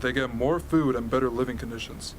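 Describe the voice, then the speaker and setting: A man's voice: medium pitch, brisk, close to an everyday voice, poor audio. A man playing a serious military briefer, explaining things in an amateur radio drama. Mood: serious, explanatory. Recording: hobby recording with ambient noise.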